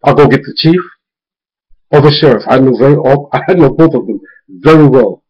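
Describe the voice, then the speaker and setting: A man's voice: medium pitch, moderate pace, muffled recording. A man speaking informally to viewers in an emphatic way, warning and retelling, repeating words for stress. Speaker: video blogger warning viewers about a phone scam. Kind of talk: informal spoken warning with retold phone conversations.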